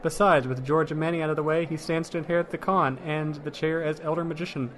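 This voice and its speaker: Male actor as fire mage detective, conversational, matter-of-fact, somewhat high voice, fantasy comedy audio drama. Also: steady tone, fast talker, lightly acted. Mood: determined.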